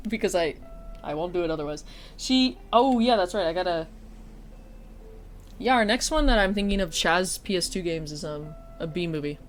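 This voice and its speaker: A woman's voice: lowish voice, lively intonation, moderate pace, pauses often, soft background music. A woman streamer and teacher chatting casually and a bit stuffy-nosed, swearing and poking fun at herself. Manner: chatty, swears, self-deprecating. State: sniffly, stuffy nose. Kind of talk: casual chat while streaming.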